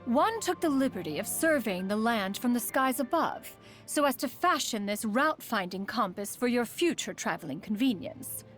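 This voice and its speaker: A woman's voice: a little high, sing-song, normal speed, few pauses, soft background music. A woman playing a proud, aloof immortal adept who secretly cares, speaking in formal, archaic phrases as a video game character. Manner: haughty, archaic formal speech, refers to self as "one".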